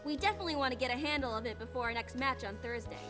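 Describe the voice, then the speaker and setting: A high-voiced teenage girl, reasonable but blunt and no-nonsense. It is visual novel voice acting, with music underneath. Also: very fast, a bit bright. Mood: matter-of-fact.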